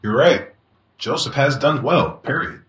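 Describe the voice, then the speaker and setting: An actor playing an easygoing, wealthy master of the house in a casual audio drama style. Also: male voice, mid-range voice, unhurried, fairly bright tone, lightly acted. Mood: affectionate, easygoing.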